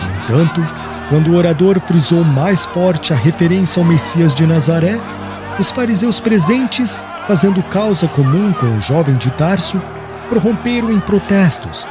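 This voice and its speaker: A man's voice: on the high side, lively intonation, moderate pace, crowd noise. A man narrating an audiobook in a solemn, formal and dramatic literary style. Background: music.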